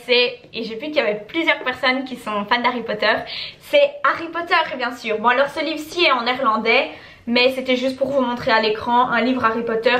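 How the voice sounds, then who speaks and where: A young woman from Belgium chats casually to camera, answering viewers' questions. She keeps stacking repeated words for emphasis, and her voice sits a little high. The room around her gives a noticeable echo. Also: a bit bright. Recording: echoey room.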